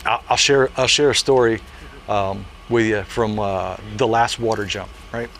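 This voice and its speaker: A man's voice: fairly low pitch, steady tone, normal speed, fairly bright tone. Earnest man in his late forties. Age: late 40s. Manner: earnest storytelling, frequent "you know" fillers. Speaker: military parachute jumpmaster. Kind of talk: casual interview answer.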